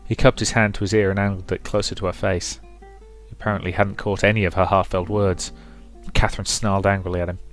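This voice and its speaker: A man's voice: low voice, little pitch movement, fast, soft background music. A man commentating on and reading aloud from a visual novel as a let's play host.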